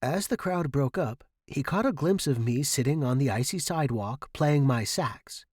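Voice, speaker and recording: A man's voice: mid-range voice, animated, normal speed, a little mellow, breathy edge, dry recording. A man reading a first-person short story dramatically, as its narrator.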